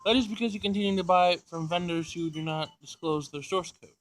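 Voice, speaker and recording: A man's voice: high voice, fairly quick, soft background music, natural voice, rough recording. A man voicing a polite, literal-minded robot assistant from a visual novel, speaking in a precise, formal way. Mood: matter-of-fact. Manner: precise, formal, matter-of-fact. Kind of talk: visual novel character reading.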